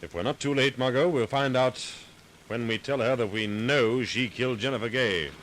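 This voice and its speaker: A man's voice: medium pitch, normal speed, lightly acted. Composed detective man, noisy old radio, acted. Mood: resolute. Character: calm, determined gentleman detective talking to his companion. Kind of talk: 1940s radio drama acting. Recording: noisy 1940s radio recording.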